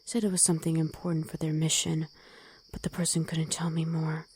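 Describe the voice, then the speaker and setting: A woman voicing a gruff, protective wolf girl who talks bluntly in an ASMR roleplay drama. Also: deep voice, little pitch movement, fairly quick, a little mellow. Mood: weary, matter-of-fact. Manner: blunt, commanding, gruffly teasing.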